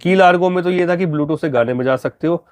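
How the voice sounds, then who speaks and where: A man's voice: fairly high pitch, brisk, no room sound. A man explaining products conversationally as a dealer and installer. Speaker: car audio dealer and installer.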